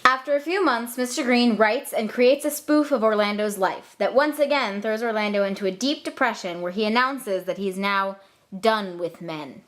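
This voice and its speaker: A woman's voice: medium pitch, moderate pace, a bit bright. A woman giving comic scripted narration for a puppet show in an irreverent, sardonic manner.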